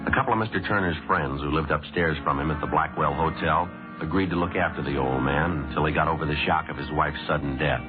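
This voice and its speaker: A deadpan police detective with an extremely low voice, clipped and courteous. He sounds matter-of-fact, in the style of an old radio crime drama, on a tinny, band-limited recording. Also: quick pace, hardly any pauses, music in the background.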